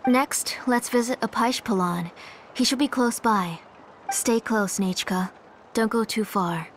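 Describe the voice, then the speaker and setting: A woman voicing a kind, caring mother in a video game, gentle and courteous. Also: fairly high pitch, unhurried, a little hiss or hum, lightly acted. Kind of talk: animated game dialogue.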